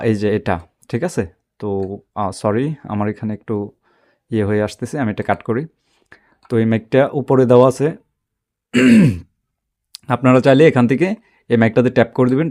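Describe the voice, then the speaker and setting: A man giving an unscripted, step-by-step app tutorial in a halting, repetitive way. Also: mid-range voice, a little mellow. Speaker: tech tutorial host.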